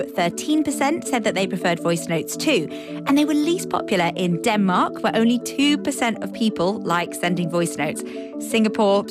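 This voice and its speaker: A woman presenter reads scripted lines in a clear, measured voice. Music plays clearly underneath her throughout. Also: medium pitch. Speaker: podcast presenter. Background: music bed.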